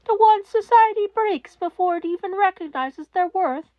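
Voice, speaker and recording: A woman voicing a small, stern old mouse principal in a fan-fiction reading, cold, polite and cutting as he makes deliberate accusations. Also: extremely high pitch, lively intonation, dry recording, muffled recording, voice pushed up. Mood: furious. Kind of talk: dramatic audiobook-style fan fiction character reading.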